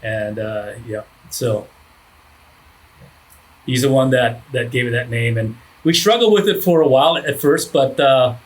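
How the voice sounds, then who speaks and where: A man in his sixties from Hawaii speaks with an average-pitched voice. He tells his stories as an interview guest, rambling a little and poking fun at himself in a conversational way. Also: measured pace. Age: 60s. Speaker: surfboard shaper, podcast guest.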